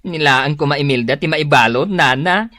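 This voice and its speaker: A woman with an Ilocano Filipino accent playing an anxious, excitable salon coworker in a comic radio drama, fretful and exclamatory. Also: low-pitched, normal speed, no echo, studio quality. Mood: alarmed. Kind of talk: comedic radio drama acting.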